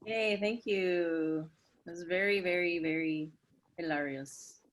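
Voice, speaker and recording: A woman's voice: fairly low pitch, slow, slight background noise. A woman hosting a playwrights' talk-back over a video call, speaking in a casual style.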